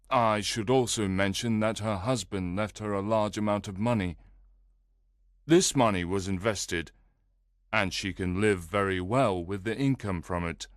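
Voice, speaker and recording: A man's voice: on the low side, dry recording, clean studio sound, close to an everyday voice. A man voicing an upset, earnest male visitor telling his story in a read-aloud tale.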